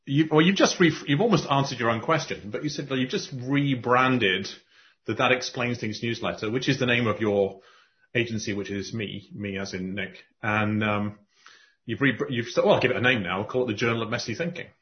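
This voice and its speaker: A man hosting a podcast conversation, talking in animated spurts and often restarting his sentences. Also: mid-range voice, fairly quick. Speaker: podcast host and coach. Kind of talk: casual conversation. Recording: muffled lo-fi audio.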